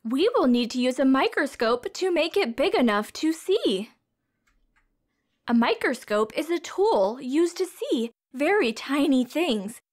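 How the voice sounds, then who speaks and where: A woman's voice: high-pitched, big pitch swings, measured pace. A woman reading a storybook aloud to young children as a teacher, expressively, with simple questions and explanations.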